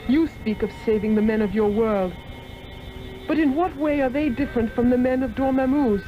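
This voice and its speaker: An actress playing an earnest woman of a dark dimension, formal and urgent, in a 1960s radio drama. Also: on the high side, moderate pace, close to an everyday voice. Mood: grave pleading. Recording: dull lo-fi old radio, music behind.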